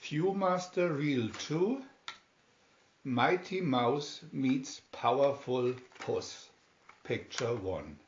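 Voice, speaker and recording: A man reading a children's story aloud in a deliberate, dramatic storytelling manner. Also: mid-range voice, expressive, slight background noise. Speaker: storybook reader. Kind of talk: scripted children's story narration, read aloud. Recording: roomy, slightly dull home recording.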